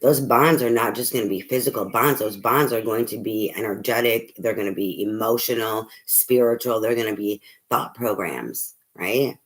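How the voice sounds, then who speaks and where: Soft-spoken hoarse tarot reader. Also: medium pitch, moderate pace, hardly any pauses. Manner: rambling, filler-heavy, swears casually; speaking softly. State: losing voice, hoarse. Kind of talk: casual tarot reading to camera.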